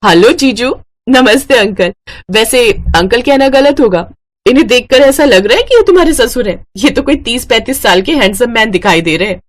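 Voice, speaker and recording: A woman's voice: on the high side, lively intonation, normal speed. Woman voicing a coy, scheming young bride. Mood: gushing. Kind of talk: Hindi moral-story cartoon acting.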